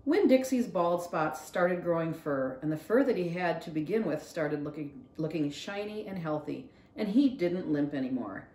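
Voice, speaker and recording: Animated woman reading a storybook. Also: fairly low pitch. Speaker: library read-along reader. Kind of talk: expressive storybook narration. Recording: roomy echo.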